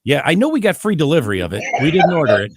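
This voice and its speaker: A man's voice: fairly high pitch, very animated, very fast, over a noisy crowd. A man from the United States hosting a casual podcast, joking and laughing often. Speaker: podcast host.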